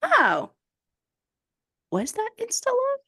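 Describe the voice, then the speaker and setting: A woman's voice: very high-pitched, huge pitch swings, slow pace, sharp tone, no echo, clean studio sound. A woman co-hosting a casual podcast game, muttering clues to herself as she thinks. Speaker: podcast co-host. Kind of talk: casual chat, guessing game.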